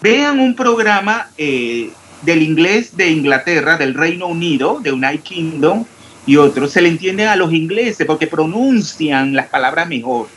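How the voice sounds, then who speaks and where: A man from Panama, teaching a chemistry class online and questioning students. He talks about clear diction and sounds out every s. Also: fairly high pitch, lively intonation, a little slow, fairly bright tone, a little hiss or hum.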